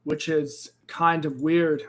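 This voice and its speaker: A man hosting a casual live trading stream, upbeat and greeting viewers by name, his voice a bit raspy and hoarse after losing it. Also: fairly high pitch, little pitch movement, slow pace, fairly bright tone. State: slightly hoarse, recovering from a lost voice. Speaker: crypto trading livestream host. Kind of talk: casual livestream chat.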